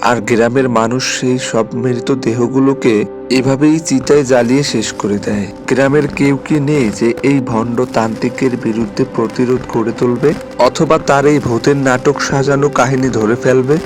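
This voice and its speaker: A man narrates a scripted horror tale with dramatic delivery. His pitch is mid-range, and music is prominent behind the narration. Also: fairly even intonation, normal speed.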